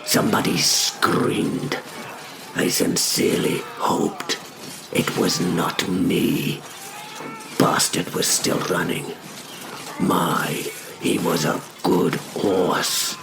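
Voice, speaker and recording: Man playing low, slow, gravelly knight William. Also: level tone, heavily acted. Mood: grave, wry. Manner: measured, solemn, hushed storytelling with deliberate pauses. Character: young male knight William, reflective, brave yet frightened. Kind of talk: scripted first-person dramatic narration. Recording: clear background noise and ambience.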